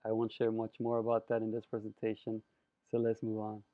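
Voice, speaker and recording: A man from Colombia giving a presentation lecture, expressive and savoring his words with drawn-out emphasis. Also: lowish voice, no echo. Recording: dry, phone-like narrow sound.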